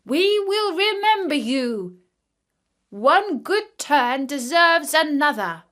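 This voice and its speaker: Female storyteller as little ant king, indignant, regal, high, animated, storybook. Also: takes it slowly, full character voice. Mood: grateful. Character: indignant tiny ant king, complaining yet grateful. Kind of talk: children's storybook character voice.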